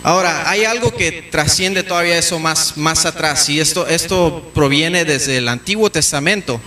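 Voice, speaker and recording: Bright-voiced preacher in echoey hall. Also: male voice, fairly high pitch, normal speed. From Mexico. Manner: frequent verbal fillers and restarts, teaching tone. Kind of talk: sermon. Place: church hall. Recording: echoey church room with faint noise.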